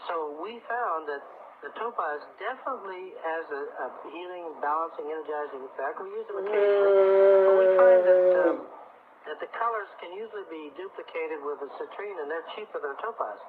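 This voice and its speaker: Male radio guest expert, fairly high voice, instructive delivery, on a narrow, tinny vintage broadcast. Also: background noise. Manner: instructive, explaining at length. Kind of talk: live radio call-in discussion. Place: radio studio.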